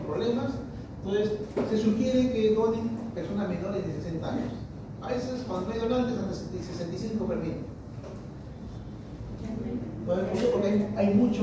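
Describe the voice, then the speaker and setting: A man's voice: sits high, distant mic, background noise. Man lecturing in an echoey classroom. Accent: Peruvian Spanish. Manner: repeats and restarts phrases, asks the class questions. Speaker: histology professor. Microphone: distant room mic. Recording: echoey classroom recorded from afar.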